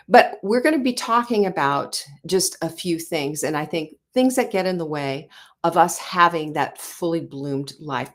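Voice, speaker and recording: A woman in her sixties with a somewhat low voice gives an earnest, conversational talk as a show host. She circles her points with fillers and self-corrections, speaking straight to her listeners. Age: 60s. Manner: earnest, conversational, many "you know" fillers and restarts. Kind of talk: motivational talk.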